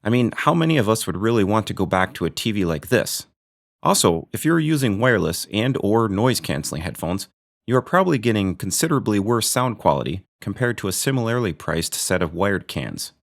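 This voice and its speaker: A man's voice: on the low side, sing-song, brisk. A man hosting a gear review video, narrating from a script with dry, joking asides.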